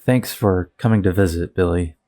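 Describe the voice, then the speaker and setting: A man's voice: low-pitched, moderate pace, dark tone, close to an everyday voice. A man playing a hesitant, awkward young superhero who is recovering and downcast, in an audio drama.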